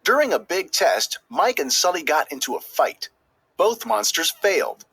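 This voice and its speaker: A man reading a children's story aloud as scripted narration, with expressive, emphatic delivery that draws out key words. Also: high-pitched, moderate pace, gravelly, slightly rough, no room sound. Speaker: storybook reader.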